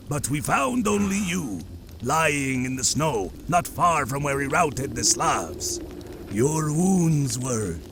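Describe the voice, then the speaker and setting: Guy doing a humble norse villager, slow n gravelly, devoted to his lord, comic audio drama, noisy mic. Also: on the high side, fairly bright tone, full character voice.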